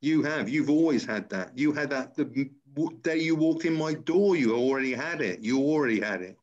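A man's voice: medium pitch, animated, normal speed. A middle-aged man chatting casually on a video call like a motivational trainer, repeating his phrases to encourage. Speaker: personal trainer and interviewer. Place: small room indoors. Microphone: computer on a video call. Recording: dull lo-fi video-call audio.

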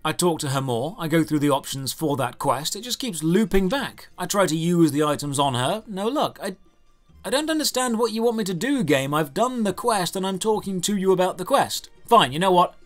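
A man's voice: high voice, expressive, normal speed. A man narrating a scripted comedic video game review in a sarcastic, exasperated manner. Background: video game music.

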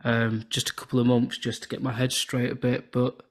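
An English man in his thirties from southern England, hosting a podcast over a video call with a soothing, relaxed cadence. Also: mid-range voice, brisk. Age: 30s. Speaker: podcast host. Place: home room with shelves and lights. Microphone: podcast microphone on video call.

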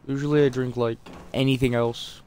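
Game gunfire and noise sit behind a man's mid-pitched voice. He rambles casually as he plays, swearing often and putting himself down. His throat is a little sore. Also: level tone, normal speed, a little mellow. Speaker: gaming video host. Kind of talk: casual gameplay commentary. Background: zombie shooter gunfire and ambience.

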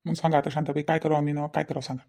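Cartoon village dad, played by a woman: middle-aged, protective, worried, Varhadi Marathi accent, very fast, even-toned delivery. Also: low-pitched. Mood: worried, questioning. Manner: insistent, repetitive questioning. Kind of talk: comedy cartoon dialogue.

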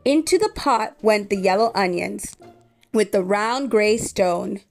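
A woman reading a children's story aloud in an expressive, measured storytelling way. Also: medium pitch, gravelly, soft background music. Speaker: storybook reader.

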